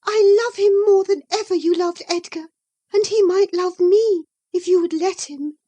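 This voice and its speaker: A woman voicing an infatuated, tearful and indignant young woman in high, wounded outbursts. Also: normal speed, dark tone. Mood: pleading.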